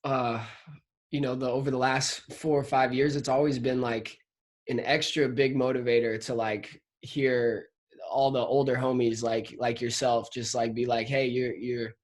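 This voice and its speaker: A man's voice: mid-range voice, flat delivery, unhurried. Slangy young guy chatting casually. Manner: slangy, says "man" and "like" constantly. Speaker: host of a drummers' chat show. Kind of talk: casual interview chat.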